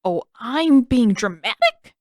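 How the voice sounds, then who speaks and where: A woman's voice: on the high side, very animated, takes it slowly, no room sound, studio quality, voice pushed up. A woman voicing a sarcastic, dramatic teenage boy who complains a lot in a fan fiction audiobook reading. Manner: sarcastic, whiny.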